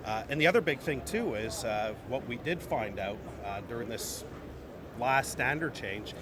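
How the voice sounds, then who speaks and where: A man's voice: mid-range voice, animated, moderate pace. A man speaking as a company representative in an informal trade show interview, emphatic and repeating phrases for stress. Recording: noisy busy venue background.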